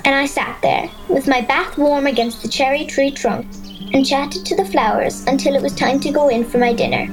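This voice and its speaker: A woman playing a curious, excitable young Irish girl, acting and narrating her adventure in a wide-eyed, expressive way for an audio drama. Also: high-pitched, normal speed, close to an everyday voice, rough recording. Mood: dreamy, content. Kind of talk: audio drama acting and first-person storytelling. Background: music.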